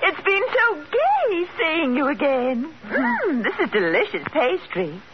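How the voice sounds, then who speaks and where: A woman's voice: high voice, huge pitch swings, takes it slowly, music in the background, exaggerated voice. An actress playing a pretentious, gushing society woman in a 1940s-style radio sitcom. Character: affected, gushing society woman flaunting worldliness. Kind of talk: 1940s radio situation comedy acting. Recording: noisy muffled old radio recording.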